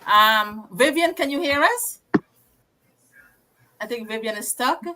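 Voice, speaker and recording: A woman hosting an online group call, briskly calling on each guest by name. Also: on the high side, expressive, sharp tone, slight background noise. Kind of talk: casual video call hosting.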